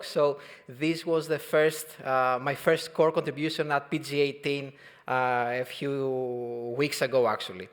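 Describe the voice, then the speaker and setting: A man in his thirties, from Albania and raised in Greece, giving a relaxed technical talk at a conference, halting often with fillers and restarts. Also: mid-range voice. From Albania, grew up in Greece. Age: 30s. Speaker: conference speaker. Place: conference hall.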